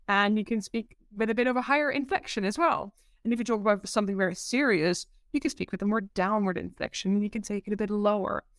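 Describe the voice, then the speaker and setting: A woman from the Netherlands with a Dutch accent, a presentation coach talking as a podcast guest, expressive and quick to giggle. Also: fairly high pitch, dry recording, studio quality. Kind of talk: casual podcast interview.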